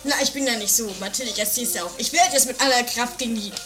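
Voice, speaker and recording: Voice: mid-range voice, fast talker, music in the background, lightly acted. A performer playing a cocky, foolish man who turns whiny, in an improvised trashy comedy audio drama. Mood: smug. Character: high-voiced, cocky, foolish man named Heini.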